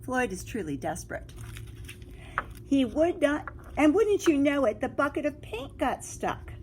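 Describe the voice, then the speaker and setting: A woman with a fairly high, very animated voice reads a picture book to young children like a teacher. She exclaims and adds asides, putting questions to her listeners. There is noticeable noise behind her. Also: unhurried.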